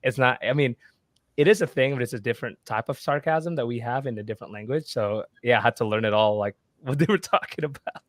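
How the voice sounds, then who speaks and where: Young man from Indonesia, relaxed. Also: medium pitch, quick pace, dry recording, clean studio sound. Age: 20s. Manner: relaxed, chatty, many fillers and restarts. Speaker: guest, marketing agency owner. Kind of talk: casual podcast interview.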